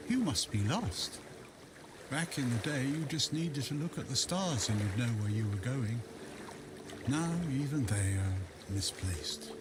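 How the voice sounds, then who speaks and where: An actor playing a wistful, philosophical old hermit by the sea in a fantasy video game. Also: male voice, mid-range voice, expressive, background noise, lightly acted. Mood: wistful, musing. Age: older. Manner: slow, musing, philosophical. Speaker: non-player character in a fantasy RPG. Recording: water ambience behind the voice.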